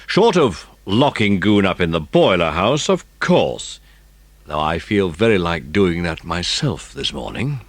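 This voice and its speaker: Man acting a British police chief, genial, wry, authoritative, lively intonation, audiobook drama, dry recording. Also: low voice, measured pace, dry recording, heavily acted. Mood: wry, assured. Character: genial senior police chief, confiding, dryly amused.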